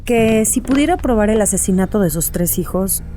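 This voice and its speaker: An actress playing a composed, probing psychiatrist in an audio drama, speaking in a measured, questioning way. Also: female voice, mid-range voice, animated, warm tone, close to an everyday voice. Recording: music under the voice.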